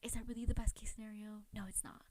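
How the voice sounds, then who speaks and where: A woman's voice: medium pitch, little pitch movement, fast, mellow, no echo. A woman hosting a casual, chatty true crime podcast, talking informally in a rambling, self-questioning way with lots of filler words. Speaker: podcast host.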